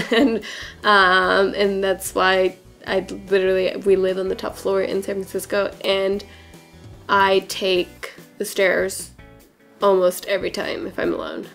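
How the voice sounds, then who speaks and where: Woman, noticeable vocal fry, medium pitch, casual chatty Q&A delivery, faint music behind. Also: fairly bright tone. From born in Portugal, raised in California from about age four. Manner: chatty, informal, peppers talk with "like" and "literally". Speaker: hairdresser and beauty vlogger answering questions.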